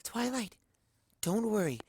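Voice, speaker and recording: A man voicing a timid, gentle young female pony who speaks softly and soothingly. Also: high-pitched, animated, slow pace, a little hiss or hum, voice pushed up. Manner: soft, hushed, soothing.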